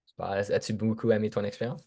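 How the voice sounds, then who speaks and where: This is a young man with a Franco-Ontarian accent, speaking in a lowish voice as a podcast host. He talks casually. He affirms his guest briefly and mixes tu and vous as he goes. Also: flat delivery, quick pace, vocal fry, warm tone, breathy edge. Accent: Franco-Ontarian French. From Sturgeon Falls, Northern Ontario. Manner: informal, short affirmations, slips between tu and vous.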